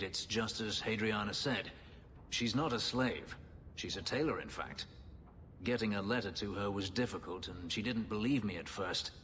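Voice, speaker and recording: A man's voice: fairly low pitch, fairly bright tone, close to an everyday voice. An actor playing a brooding, guarded male elf who escaped slavery, intense and terse, in a video game. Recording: faint background noise.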